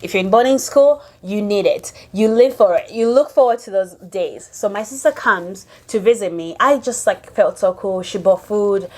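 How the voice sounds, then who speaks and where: A young woman from Uganda, not a native English speaker, telling a personal story to camera in a casual, animated way with many fillers. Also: medium pitch. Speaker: vlogger.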